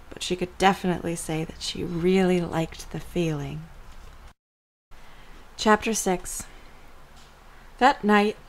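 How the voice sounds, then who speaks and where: A woman narrates a scripted story. Her voice sits a little low for a woman, and she reads prose as the narrator of a drama. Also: poor audio.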